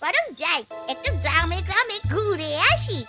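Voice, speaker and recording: A woman's voice: very high voice, very animated, normal speed, heavily acted. A woman playing a scheming female ghost who longs for married life, theatrical and gloating in a fairy-tale cartoon. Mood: wistful, self-pitying. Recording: music behind the voice.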